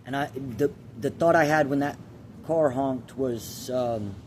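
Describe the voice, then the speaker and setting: A man tells a personal story with a moral in an earnest, confessional way, halting and deliberate between short phrases. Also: mid-range voice, darkish tone, slight background noise.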